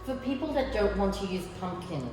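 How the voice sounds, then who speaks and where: A woman hosting a cooking show, chatty with quick short replies to her guest. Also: medium pitch, lively intonation. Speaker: host. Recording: echoey, far from the mic, music behind.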